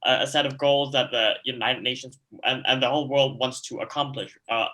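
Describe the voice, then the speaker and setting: A man's voice: mid-range voice, steady tone, a little fast, bright, forceful tone. A teenage boy from Hong Kong introducing himself and answering on a youth panel, with some uh fillers. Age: teens. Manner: eager, with uh fillers.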